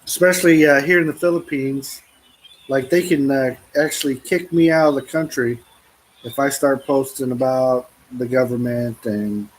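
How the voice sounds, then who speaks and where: A middle-aged man from the American Midwest chatting casually with viewers from the couch at home, easygoing and quick to laugh. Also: medium pitch, fairly even intonation, poor audio. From United States. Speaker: vlogger. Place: living room at home.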